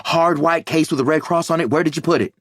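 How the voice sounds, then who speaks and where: A man voicing a sharp woman medic who gives urgent, swearing orders in a crisis. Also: on the high side, quick pace, dry recording, clean studio sound, pitched above the performer's own voice, lightly acted. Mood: commanding.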